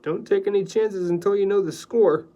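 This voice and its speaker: A man's voice: sits high, moderate pace, warm tone, highs cut off, pitched above the performer's own voice, lightly acted. A man doing a blunt, cautious editor. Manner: blunt, warning.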